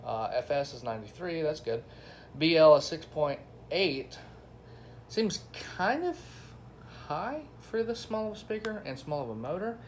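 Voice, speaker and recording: A man's voice: fairly high pitch, big pitch swings, a little slow. A man giving a casual, unscripted product review, talking informally to his viewers. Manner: informal, addresses viewers as y'all and guys.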